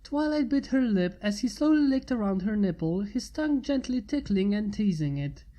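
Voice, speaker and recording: Woman reading fanfic out loud, mellow soft-edged tone, normal female pitch. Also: animated, moderate pace, poor audio. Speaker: fan fiction reader. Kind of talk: narrated story reading of explicit adult fan fiction.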